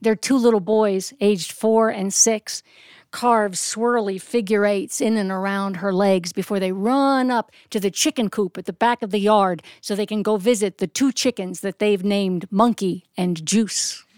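A woman telling a story in a polished, expressive way, with deliberate descriptive phrasing and dramatic pauses. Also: mid-range voice, clear, resonant tone, dry recording, clean studio sound. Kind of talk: polished live storytelling to an audience.

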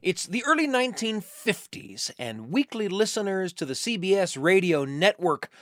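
A man hosting a radio show, narrating in a conversational, folksy storytelling style with dramatic pauses, close on the microphone. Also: high-pitched, very animated, a bit bright, dry recording. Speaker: radio host. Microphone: close studio microphone.

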